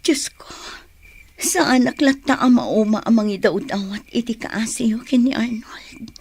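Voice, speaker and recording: A loyal, anguished young mother in a radio play. Her voice sits high and moves slowly. She sounds pleading and close to tears. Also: darkish tone, slight background noise. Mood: anxious, prayerful. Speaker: radio drama actress. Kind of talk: Ilocano radio drama acting.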